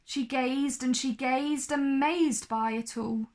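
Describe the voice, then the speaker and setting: Female children's story reader, high voice, unhurried expressive delivery of rhyming narration.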